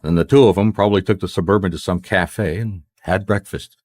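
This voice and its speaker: An actor playing a shrewd, dogged private detective in a radio mystery, questioning people in a wry, seemingly casual way. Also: male voice, deep voice, steady tone, a little fast, darkish tone, lightly acted. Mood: accusing, wry. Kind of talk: radio mystery drama acting. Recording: noisy radio drama recording.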